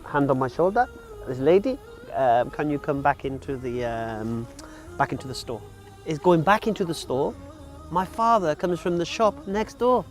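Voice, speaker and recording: A man of forty who grew up in Wales, speaking frankly about his life in a casual interview, with frequent ums and uhs. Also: high voice. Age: 40s. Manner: frank, plain-spoken, with many ums and uhs. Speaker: interview guest. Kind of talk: casual personal storytelling.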